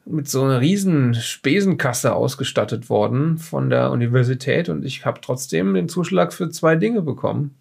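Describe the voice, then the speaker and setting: A middle-aged professor with something to hide voiced by a male actor speaks in a mid-pitched, creaky voice. He rambles, restarts and defends himself, as if under questioning in an audio drama. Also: expressive, moderate pace, few pauses, a little mellow, exaggerated voice. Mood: earnest, justifying. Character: evasive forty-year-old professor with a safecracker past. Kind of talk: improvised audio drama interrogation.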